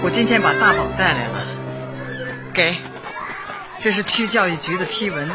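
A woman's voice: fairly low pitch, lively intonation, unhurried, dull audio, loud music behind the voice. An actress playing a kindly, busy old woman community leader in a radio drama, urgent and reassuring as she repeats her words. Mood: polite, purposeful. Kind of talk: Chinese radio drama acting.

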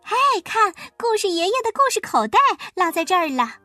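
Squeaky excited little chicks, super high. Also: female voice, fairly quick, dry recording, soft background music, full character voice. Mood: eager. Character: eager young chicks, chirpy and lively.

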